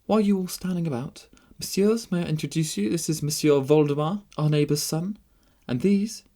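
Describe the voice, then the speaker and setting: Casting: male reader as young princess for audiobook, raised pitch, lively, bossy, playfully teasing. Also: moderate pace, lightly acted. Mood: excited, playful. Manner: bright, commanding, playful exclamations. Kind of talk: audiobook character voice.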